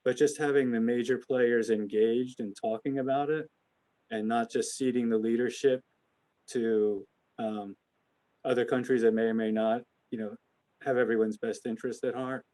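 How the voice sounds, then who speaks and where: A man hosting an investment conference call, speaking off the cuff in a halting, hedging, conversational way. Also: medium pitch, fairly even intonation, warm tone, low-quality recording. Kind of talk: unscripted conference call remarks.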